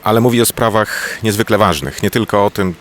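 Deep-voiced academic man. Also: fairly bright tone. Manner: measured, academic, with fillers. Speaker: cultural anthropologist. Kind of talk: interview statement.

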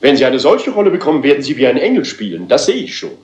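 Pompous troupe director male actor: middle-aged, theatrical, excitable, very animated pitch, roomy sound. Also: quick pace, dull audio, close to an everyday voice. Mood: flattering. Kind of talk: German dubbed crime drama acting.

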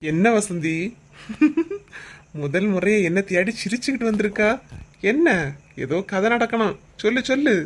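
A gruff, teasing middle-aged village husband, voiced by a woman in a cartoon comedy, talking in a Kanyakumari Tamil accent. He scolds and banters at a middling pitch, brushing off his wife's requests. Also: lively intonation, unhurried, pauses often, slight background noise, lightly acted.

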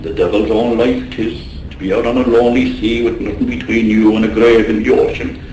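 An actor playing a loyal, warm-hearted Irish seaman in a radio drama, with colourful turns of phrase. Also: on the low side, steady tone, fairly quick, close to an everyday voice. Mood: indignant. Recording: roomy, faintly noisy radio drama.

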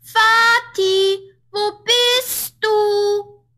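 A young storybook daughter, good-hearted and anxious. Her voice is piercingly high and she takes her words slowly, as in a radio fairy tale. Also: steady tone, pushed and bright, faint music behind, full character voice. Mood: calling out.

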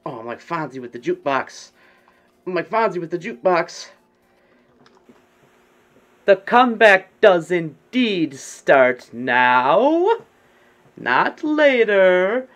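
A man's voice: high voice, sing-song, slow pace, long pauses, a bit bright. A young adult man giving casual, joking gameplay commentary, often repeating his phrases over and over. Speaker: game streamer. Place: bedroom, indoors. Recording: dull, lo-fi webcam stream audio.

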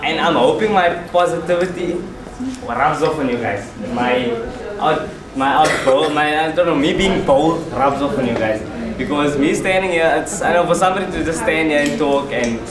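A young man with a South African accent talks casually to a circle of students, laughing and restarting his sentences. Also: fairly high pitch. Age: young adult. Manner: chatty, laughing, restarts often. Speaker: student speaker. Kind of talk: casual speech to a group. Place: large hall with seated students. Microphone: far camera. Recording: echoey hall from far off, crowd.

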